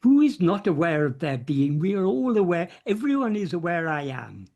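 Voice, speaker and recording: A man's voice: a little high, very animated, moderate pace, darkish tone. A man giving an unscripted philosophical talk as a spiritual teacher in an online meeting, earnestly restarting and repeating phrases and leaning on key words. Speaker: spiritual teacher answering questions.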